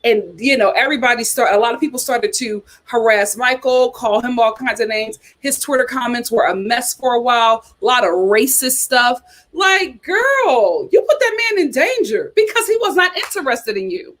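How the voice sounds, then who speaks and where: A woman with a fairly high voice talks in an animated, sassy way and drops casual slang. She comments loosely and answers comments from a live chat as she goes. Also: normal speed. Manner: uses casual slang like "child" and "y'all". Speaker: host.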